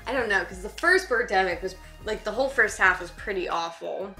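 A woman's voice: mid-range voice, lively intonation, a little fast, sharp tone, faint music behind. A woman in her thirties hosting a casual movie reaction chat, informal, giggly and exclamatory, in a living room. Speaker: host of a movie reaction show.

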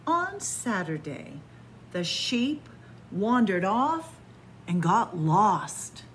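A middle-aged woman reading a picture book aloud to children in a library, playful and animated. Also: mid-range voice, drawn-out words, slight background noise. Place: library, in front of bookshelves. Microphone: camera at close range.

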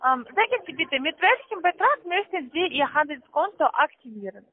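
A woman with a foreign accent giving a sales pitch over the phone, in halting, careful non-native phrasing. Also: big pitch swings. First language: not German. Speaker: call centre account manager. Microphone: telephone. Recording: muffled narrow-band phone line.

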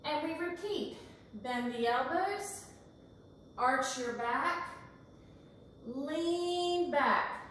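An encouraging woman in her forties leads a workout from her home kitchen, her voice high and her pace unhurried. She counts reps aloud while she moves. The camera sits across a bare, echoing room, so she sounds distant. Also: expressive, a bit bright, a little hiss or hum. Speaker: fitness instructor. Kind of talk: guided workout instruction. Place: large home kitchen, indoors. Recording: echoey kitchen, voice from far off.

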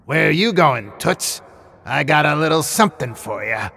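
A man voicing a maniacal, gleeful killer puppet in a story, with leering, theatrical, drawn-out taunts. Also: a little high, animated, gravelly, sharp tone, voice pushed up, full character voice. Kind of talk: scripted story character voice.

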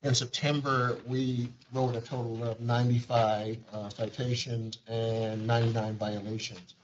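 A man's voice: mid-range voice, level tone, a little slow, slight background noise. Male administrator reading monthly figures to a board; bureaucratic, filler-heavy delivery, dull meeting-room recording. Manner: wordy, bureaucratic phrasing with frequent fillers. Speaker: agency administrator. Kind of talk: formal board meeting report. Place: large conference room.

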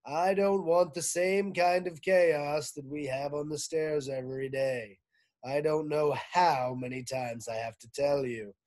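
A blustering, self-important principal barking announcements, loud and a bit raised in pitch, put on by a male reader. He lectures with pompous certainty.

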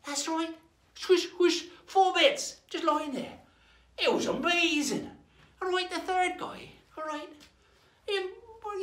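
A man's voice: very high-pitched, a bit bright, heavily acted. Man playing a jolly, swooping old pirate storyteller. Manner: rambling, theatrical, folksy, checks in with "right?". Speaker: host of pirate-themed lessons. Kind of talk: playful in-character storytelling and lesson. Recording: roomy echo.

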